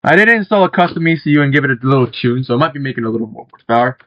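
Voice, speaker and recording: A young man giving relaxed, rambling gameplay commentary, swearing casually as he talks. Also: medium pitch, racing, lo-fi sound. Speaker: gaming channel host.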